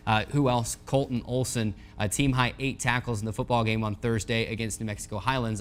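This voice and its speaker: An American man hosting a sports show, running through results and highlights in broadcast style. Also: fairly bright tone. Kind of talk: sports news rundown.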